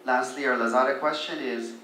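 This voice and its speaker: A man's voice: mid-range voice, fairly even intonation, fairly quick, pushed and bright, reverberant, a little hiss or hum, low-quality recording. A man serving as interviewer, asking pageant contestants questions in a friendly way, with playful asides.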